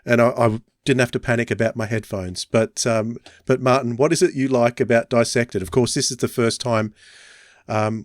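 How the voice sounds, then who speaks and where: A male podcast host chatting casually at a middling pitch. The sound is close and very dry, with no room echo.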